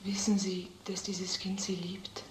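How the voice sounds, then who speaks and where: A woman playing an indignant, wounded mother in old crime film dialogue, asking pointed questions in a hushed voice. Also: medium pitch, completely flat delivery, measured pace, natural voice. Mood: shocked, wounded. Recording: roomy film soundtrack with background noise.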